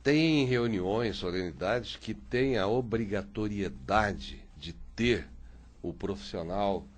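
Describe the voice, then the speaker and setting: Guy hosting a radio chat, kinda low voice, lots of fillers. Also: animated, slow. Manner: chatty, rambling questions with many fillers. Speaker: radio host. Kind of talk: radio interview.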